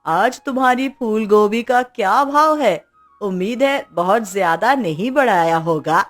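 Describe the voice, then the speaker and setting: A woman plays a trusting middle-aged neighbourhood aunt in a cartoon. She talks slowly and fondly in a medium-pitched voice. Quiet music plays below. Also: expressive, natural voice. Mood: affectionate. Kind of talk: cartoon story acting.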